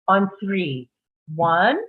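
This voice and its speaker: A woman's voice: medium pitch, lively intonation, drawn-out words, breathy edge, narrow, tinny audio, full character voice. A woman playing a comforting young doctor in a script table read, over thin call audio. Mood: coaching, urgent.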